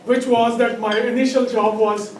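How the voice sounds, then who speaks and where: A middle-aged man with a Pakistani accent delivers a halting campaign speech in a very high, hoarse voice. He is picked up from off in an echoey room with some background noise. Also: fairly even intonation. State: hoarse, bad throat. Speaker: political candidate. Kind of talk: campaign speech to a community audience. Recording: echoey hall, distant mic, background noise.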